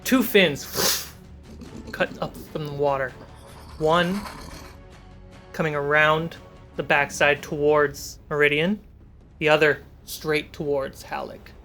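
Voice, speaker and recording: A man's voice: on the high side, steady tone, slow, long pauses, pushed and bright. A man running a tabletop fantasy game as game master, narrating scenes and calling out results. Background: clear cinematic music.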